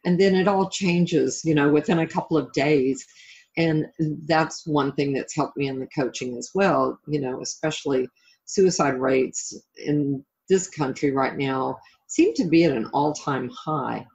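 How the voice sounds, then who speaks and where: A woman's voice: low voice, expressive, measured pace, gravelly, a little mellow, muffled recording. A middle-aged woman being interviewed as a life coach and author, talking reflectively and conversationally in an encouraging way.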